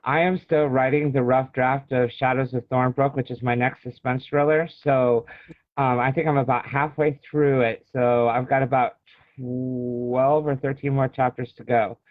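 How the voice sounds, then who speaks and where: A man's voice: mid-range voice, flat delivery, normal speed. American guy in his 40s chatting about himself on an interview, tinny video call sound, no room echo. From Illinois, United States. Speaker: guest author. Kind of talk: personal storytelling in a casual interview. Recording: thin phone-like video call audio.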